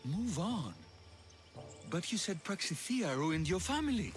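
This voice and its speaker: A man's voice: high-pitched, sing-song, measured pace, a little hiss or hum. A man playing an earnest, wounded young healer in a video game cutscene, emotional and hesitant, with music behind him. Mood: anguished, resolute.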